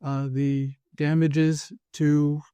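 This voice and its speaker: A man, a former prosecutor, answering interview questions in a careful, measured way with frequent "um"s. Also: fairly high pitch, little pitch movement, dark tone, clean tone, no room sound.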